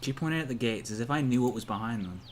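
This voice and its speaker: A man's voice: medium pitch, lively intonation, quick pace, a little hiss or hum. A young man reading visual novel narration aloud in an amateur, dramatic way, as narrator of a let's play.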